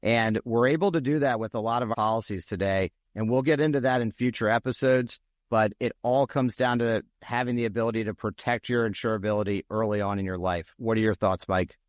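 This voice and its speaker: A man co-hosting a podcast, explaining things in a steady, slightly salesy way. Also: on the low side, fairly quick, dry recording, clean studio sound. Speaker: podcast co-host. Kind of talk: casual podcast discussion.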